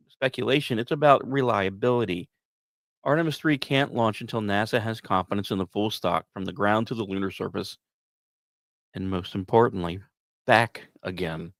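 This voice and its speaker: A man hosting a podcast, reading scripted narration in a measured, dramatic way with emphatic pauses and casual asides. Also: mid-range voice, no echo, studio quality. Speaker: podcast host.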